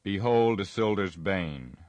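A wise, ancient half-elven lord, as a man reads him. He speaks in a stately, formal way. The voice is very deep and sounds close and dry. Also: expressive, slow pace, darkish tone, dry recording.

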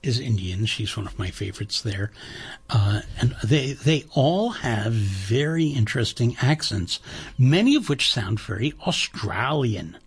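A man hosting a radio talk show, chatting in a rambling, enthusiastic way and making a pledge-drive pitch from the studio. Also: big pitch swings, a little slow, breathy edge.

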